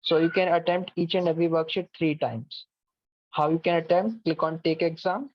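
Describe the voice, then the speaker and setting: A man's voice: on the high side, normal speed, no echo. Man giving patient step-by-step instructions. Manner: patient, repeats "okay" often, step-by-step instructions. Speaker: online course coordinator. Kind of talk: online class platform walkthrough. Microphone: video call mic. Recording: dull lo-fi video call, dry.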